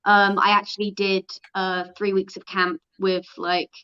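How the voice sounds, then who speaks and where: Welsh young woman with a smooth voice. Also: mid-range voice, flat delivery, pushed and bright, dull audio. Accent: Welsh with an American twang. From Wales. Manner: casual, heavy use of "like", quick restarts. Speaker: guest, pro fighter. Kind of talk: interview answers.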